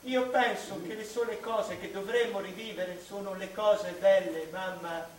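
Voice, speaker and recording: An actor playing a wistful, anguished male mathematician in a theatrical radio monologue, speaking to his mother with halting, dramatic delivery. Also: sits high, slight background noise. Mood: tender, sad. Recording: echoey room, distant microphone, music behind.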